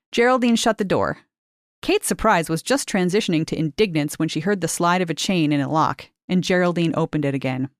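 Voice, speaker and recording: A woman reading short fiction aloud in a measured, unhurried way. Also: on the low side, no room sound, studio quality. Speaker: narrator.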